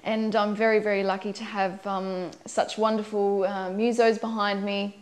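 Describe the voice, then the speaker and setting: A woman's voice: medium pitch, level tone, moderate pace. A young Australian country singer talking casually about her life and her new album, with many ums and uhs. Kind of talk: promotional interview monologue.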